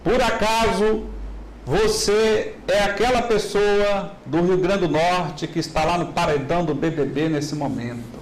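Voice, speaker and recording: Middle-aged guy from minas, fairly high voice, lecturing in an echoey hall with a faint hum behind. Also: measured pace. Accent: Minas Gerais Brazilian Portuguese. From Minas Gerais, Brazil. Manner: animated, asks the audience rhetorical questions. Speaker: business lecturer. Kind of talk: motivational business talk. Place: large hall.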